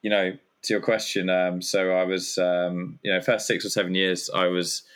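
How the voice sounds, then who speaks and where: A man's voice: deep voice, flat delivery, moderate pace, fairly bright tone. A man, a guest chatting casually in an interview and rambling with many fillers. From Germany. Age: 30s. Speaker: guest, community founder.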